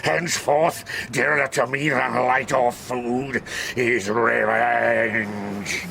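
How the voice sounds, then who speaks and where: An actor playing an anguished, vengeful created monster in a dramatised audiobook, with theatrical delivery. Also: male voice, medium pitch, gravelly, background noise, exaggerated voice. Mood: bitter, vengeful. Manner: slow, theatrical delivery, swinging from pleading cries to menacing whispers.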